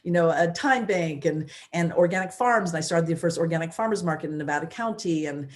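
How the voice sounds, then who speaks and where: A woman in her fifties from the United States, a former mayor, telling her story in a loud, energetic way with many restarts. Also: lowish voice, a little fast. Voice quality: loud voice, big personality. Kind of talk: casual interview storytelling.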